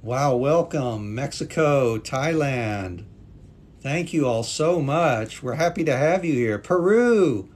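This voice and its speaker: A man's voice: medium pitch, lively intonation, slow, darkish tone. A native English-speaking man teaching English on a live stream, patiently reading viewer comments aloud and thanking them. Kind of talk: live-stream language class chat.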